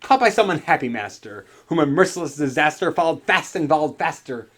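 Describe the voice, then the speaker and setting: A young man giving a theatrical, brooding recitation of gothic horror poetry, moving between hushed and loud delivery. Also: fairly high pitch, expressive, brisk. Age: young adult. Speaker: performer reciting a poem. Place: furnished basement living room.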